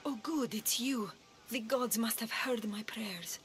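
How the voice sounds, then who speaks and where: An actress playing a stern, devout priestess of an ancient Greek temple in a video game. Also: female voice, fairly high pitch, measured pace, close to an everyday voice. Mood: earnest, resolute. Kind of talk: video game character acting.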